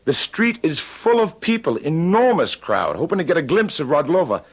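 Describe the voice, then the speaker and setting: A man's voice: a little high, very animated, moderate pace, slightly rough, heavily acted. An actor playing an earnest, solicitous man who works at a theater, in an old-time radio drama. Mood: excited. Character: earnest male theater aide, solicitous and eager. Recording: muffled old radio recording.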